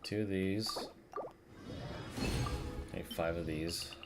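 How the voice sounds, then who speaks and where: A man's voice: low voice, fairly even intonation, drawn-out words, over loud music. A man giving casual live playthrough commentary, rambling and hesitant, with plenty of slang. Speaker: game streamer.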